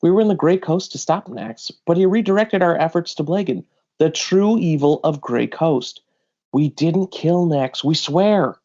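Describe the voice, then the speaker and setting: A man's voice: on the high side, moderate pace, darkish tone, natural voice. A man voicing a level-headed, commanding bounty hunter in a comic readalong, firm and urgent, in slightly dull audio. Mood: earnest.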